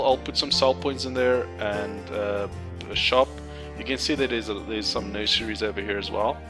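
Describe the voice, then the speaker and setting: A man from Cape Town with a South African accent, whose first language is Afrikaans, giving a casual, hesitant walkthrough of his game map with lots of ums and restarts. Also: lowish voice. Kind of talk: casual screen-share walkthrough. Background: background music.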